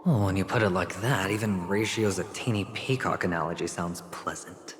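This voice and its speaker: A man's voice: deep voice, moderate pace, very echoey, long reverb tail, a little hiss or hum, close to an everyday voice. An actor playing a smug young male gambler in a video game cutscene, taunting in a mocking, teasing drawl.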